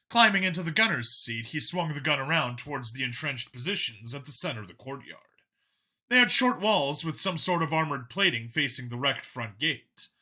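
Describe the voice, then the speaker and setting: A man narrates an audiobook with a very bright, forceful voice at a middle pitch. His delivery is scripted and dramatic, clearly storytelling.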